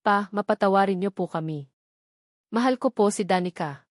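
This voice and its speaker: Woman doing a determined young guy in an audio drama, soft but firm, pleading, dry sound. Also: medium pitch, normal speed, no room sound, natural voice. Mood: pleading, resolute. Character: determined young man, gentle, firm older brother.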